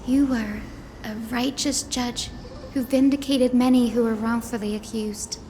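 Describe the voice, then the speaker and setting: A woman playing a gentle, earnest young female healer in a video game, speaking in a solemn, polite and formal manner. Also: on the high side, steady tone, a little slow, slight background noise, natural voice. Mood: respectful. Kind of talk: scripted video game voice acting. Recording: game audio with background music. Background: music.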